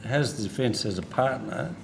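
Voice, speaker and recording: An Australian man questioning officials as a senator in a hearing, with a blunt, halting, sardonic manner. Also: medium pitch, gravelly, background noise. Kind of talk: parliamentary questioning. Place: committee hearing room.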